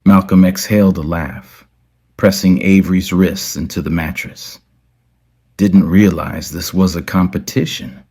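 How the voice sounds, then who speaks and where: A man with a deep voice narrates an intimate romance story. His delivery is slow and measured, with deliberate phrasing and dramatic pauses between short phrases. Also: fairly even intonation, dark tone.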